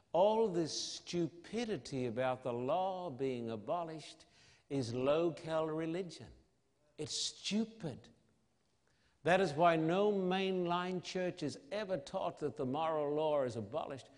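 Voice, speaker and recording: A man delivers a sermon in a somewhat high-set voice. He speaks very slowly and emphatically, leaving weighty pauses and posing rhetorical questions. Long reverberation from a large hall trails his words. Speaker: preacher. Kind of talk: evangelistic sermon.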